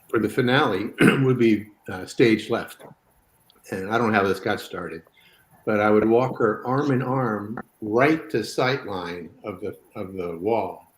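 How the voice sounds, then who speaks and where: Man, lowish voice, roomy echo, quick anecdote in casual chat. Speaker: guest, former crew member.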